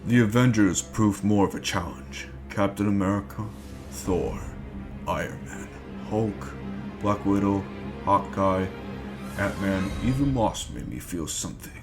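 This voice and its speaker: A man's voice: fairly low pitch, a little slow, natural voice. A man playing a menacing conqueror supervillain in an amateur comic-book dramatic reading, with cold, theatrical deliberation that turns to rage. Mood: cold menace. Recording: music behind the voice.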